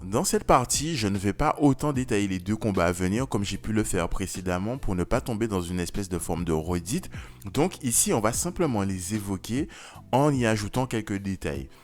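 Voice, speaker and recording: A low-pitched man hosts a review in a casual commentary style. He talks conversationally and gives his opinions freely, with slangy turns of phrase. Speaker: host of an anime review video. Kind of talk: casual spoken video commentary.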